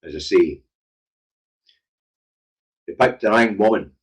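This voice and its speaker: Man, Glaswegian Scottish accent, lowish pitch, husky, blunt, opinionated, conversational livestream commentary. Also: slow. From Scotland. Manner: blunt, emphatic, sweary, conversational. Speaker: livestream current affairs show host. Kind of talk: opinionated casual live commentary.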